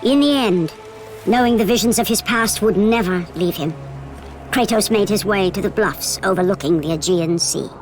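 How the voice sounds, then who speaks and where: An actress as a grave storyteller, narrating an ancient myth in a video game with measured, solemn drama. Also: female voice, mid-range voice, faint music behind, close to an everyday voice. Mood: ominous.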